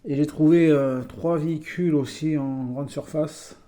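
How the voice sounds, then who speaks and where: A man's voice: fairly high pitch, steady tone, measured pace, mellow. A man from France presenting his toy vehicle collection in a casual video, chatty and full of hesitations. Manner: chatty, hesitant with many fillers.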